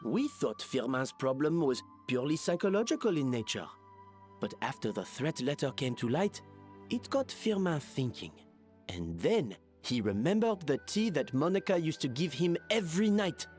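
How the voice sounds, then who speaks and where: An actor playing a composed, reasonable gentleman in a video game, explaining things in a measured, articulate way. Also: a little high, very animated, faint music behind. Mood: grave, explanatory.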